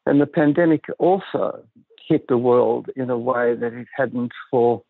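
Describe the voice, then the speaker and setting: Older male guest, gravelly and husky, measured and reflective, heard over a dry, tinny phone line. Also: medium pitch, no echo. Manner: measured, thoughtful, halting with restarts. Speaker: guest psychiatrist. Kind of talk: reflective interview talk.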